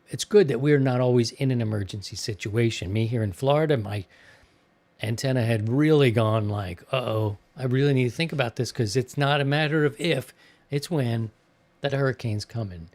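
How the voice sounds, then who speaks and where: A man's voice: mid-range voice, darkish tone. A man hosting a podcast in casual chat, talking in an enthusiastic, rambling way. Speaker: podcast host.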